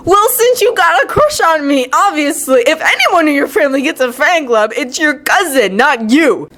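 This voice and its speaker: A woman's voice: sits high, lively intonation, moderate pace, fairly bright tone, voice pushed up. A woman voicing a stiff, proud teenage ninja boy in a story, terse and dismissive.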